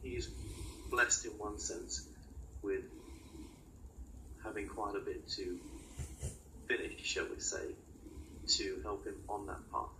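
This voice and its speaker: A man delivering a spiritual channeling monologue as a guide, in halting, oracular short phrases with many pauses. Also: lowish voice, fairly even intonation, pushed and bright. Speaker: channeled guide speaking in a session. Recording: roomy, distant mic with clear noise.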